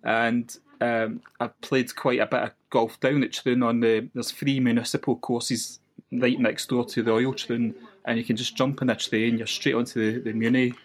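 A man's voice: medium pitch, level tone, moderate pace. Scottish podcast guest in his thirties, easygoing storyteller. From west coast of Scotland. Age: 30s. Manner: chatty, reminiscing with frequent restarts and laughs. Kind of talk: casual chat.

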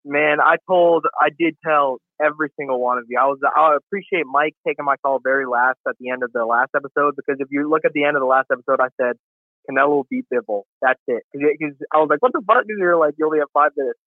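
A man calling in to a boxing show, excited and boastful, rambling in casual chat. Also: fairly high pitch, fast, no room sound. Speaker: caller. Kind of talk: call-in chat. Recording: tinny phone line.

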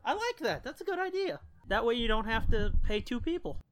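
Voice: medium pitch, sing-song, brisk, no room sound. Profane podcast co-host, close dry sound. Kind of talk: casual chat.

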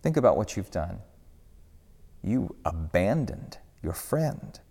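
A man voicing a cold, condemning accuser spirit in a low, hushed, taunting whisper. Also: big pitch swings, takes it slowly, creaky, husky, exaggerated voice. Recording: long echoey hall reverb.

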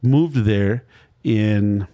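A man's voice: on the low side, drawn-out words, mellow, dull audio. Rambling storyteller man in his fifties. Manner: rambling storyteller, wandering anecdotes. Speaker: podcast host. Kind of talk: casual interview chat.

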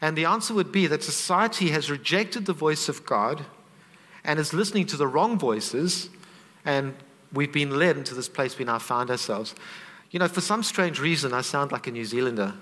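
A man preaching in a reverberant church hall, his voice sitting a little high. He talks earnestly and conversationally and turns to the congregation with asides. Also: expressive, moderate pace, a bit bright. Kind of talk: sermon.

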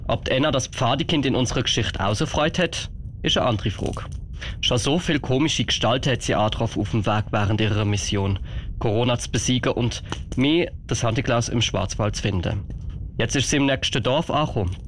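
A man's voice: medium pitch, fairly quick, fairly bright tone, slight background noise. A man, informally telling a story on a children's radio show.

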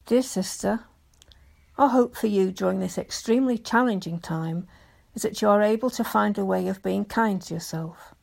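A woman of medium pitch reads a letter aloud. There is a clear creak and fry in her voice, and her delivery is measured and deliberate. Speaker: volunteer reader. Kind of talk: reading a letter aloud, scripted.

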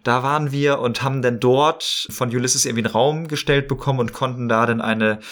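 A man's voice: medium pitch, fairly even intonation, fairly quick, fairly bright tone. A man chatting informally as a guest in an interview, talking as an improv performer. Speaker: guest, improv player in a role-playing podcast. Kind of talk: casual interview chat.

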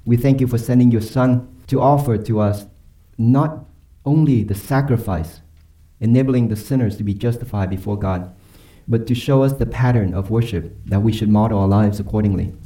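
A man preaching a sermon and leading a closing prayer, earnest and emphatic, slowing down and dropping softly on key phrases. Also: fairly low pitch, low-quality recording. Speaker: pastor.

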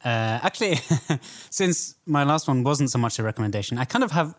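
A man co-hosting a podcast in casual conversation, explaining things in measured, halting phrases. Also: medium pitch, lively intonation. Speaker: podcast co-host. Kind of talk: casual film podcast chat.

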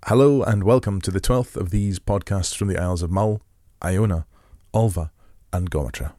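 A man hosting a podcast, giving a gentle descriptive introduction and then asking relaxed interview questions. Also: low voice, normal speed, no room sound, clean studio sound. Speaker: podcast host. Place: rural home.

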